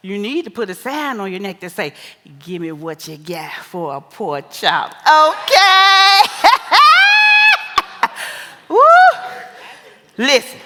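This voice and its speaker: A theater hall rings around a bawdy older mama played by a woman, high-voiced and bright. She takes her time, preaching sassy advice to her grown daughter with exclamations and broad stage-comedy delivery. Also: exaggerated voice. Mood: emphatic, teasing. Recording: echoey theater hall with long reverb.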